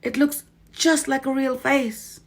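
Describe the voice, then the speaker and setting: A woman voicing a calm, tender old grandmother. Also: high-pitched, slow pace, voice pushed up. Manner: calm, affectionate. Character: tender, cool-headed elderly grandmother.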